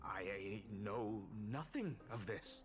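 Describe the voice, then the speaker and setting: An actor playing a resentful, suspicious and fearful delegate in a fantasy game, tense and exclamatory. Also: male voice, on the low side, lively intonation, slow pace, over music. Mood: alarmed. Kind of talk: scripted fantasy game voice acting.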